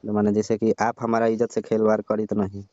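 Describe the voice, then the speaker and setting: A man plays a boastful young son-in-law who keeps pressing with blunt, needling questions. His voice is very low and dark. The sound comes through a dull, lo-fi phone call recording. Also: monotone, fast, natural voice. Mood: probing. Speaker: comedy call actor. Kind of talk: staged comic phone call.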